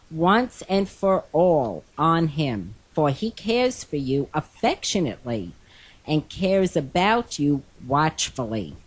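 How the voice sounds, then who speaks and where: Hoarse older woman reading scripture gently. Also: low voice. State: hoarse from a cold. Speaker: co-host.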